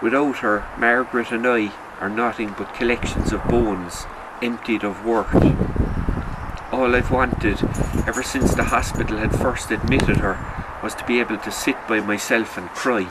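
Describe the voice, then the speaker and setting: A man reading a literary short story in a sombre, measured storytelling manner. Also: mid-range voice, fairly even intonation, very noisy. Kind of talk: literary audiobook narration.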